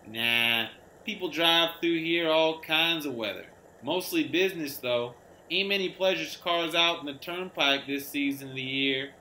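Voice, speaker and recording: Man acting a folksy, high-pitched gas station attendant. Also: sharp tone. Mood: folksy, polite. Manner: colloquial, drawn-out country talk. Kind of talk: dramatic read-aloud of a radio play. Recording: roomy echo, clear background noise.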